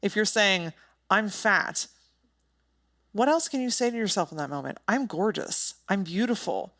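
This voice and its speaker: A woman's voice: mid-range voice, animated, measured pace, fairly bright tone. A woman hosting a solo motivational podcast, speaking in an emphatic, direct and informal way with some casual swearing. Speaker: podcast host.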